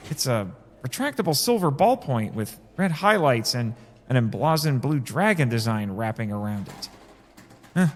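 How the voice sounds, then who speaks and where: A man with a theatrical, excitable delivery, acting and narrating a scripted first-person drama. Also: mid-range voice. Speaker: host and narrator of a fiction podcast.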